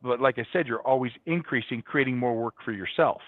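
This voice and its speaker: A man's voice: medium pitch, a little fast, no echo. A man giving conversational, explanatory answers as a guest in a business interview. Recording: dull, band-limited, dry sound.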